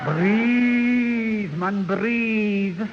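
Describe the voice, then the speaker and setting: An actor playing an irascible, threatening uncle with a Scottish accent in a British radio sitcom. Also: male voice, sits high, extremely slow. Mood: exasperated anger. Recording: muffled, hissy old radio recording, reverberant.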